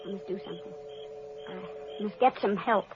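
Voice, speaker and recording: Actress as jealous young killer in panic, halting and frantic, vintage radio melodrama, muffled sound. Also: female voice, medium pitch, noisy background, lightly acted. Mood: frantic, guilty. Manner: frantic, halting, repeating herself. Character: panicked, jealous young woman who killed her sister. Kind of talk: old-time radio drama acting. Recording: dull old radio recording with music.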